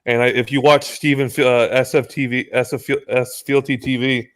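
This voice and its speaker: A man's voice: mid-range voice, normal speed. Laughing, swearing young American man. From United States. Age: early 20s. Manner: animated storyteller, laughs and swears a lot. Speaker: guest. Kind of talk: casual chat on a video call.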